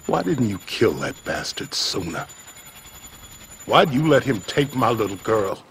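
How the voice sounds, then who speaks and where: Gravelly old dad in a game, grieving and bitter, slow halting talk, pitch all over the place. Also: male voice, sing-song, fairly bright tone, slight background noise, heavily acted. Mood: anguished rage. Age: older. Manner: halting, raw, emotionally heavy delivery. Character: broken, grieving old father, bitter and anguished. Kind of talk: dramatic video game acting.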